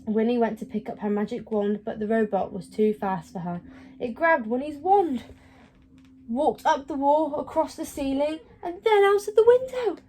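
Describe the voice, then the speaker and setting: Mellow, higher-pitched woman reading a storybook. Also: normal speed. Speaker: storybook reader. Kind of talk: expressive read-aloud of a children's story.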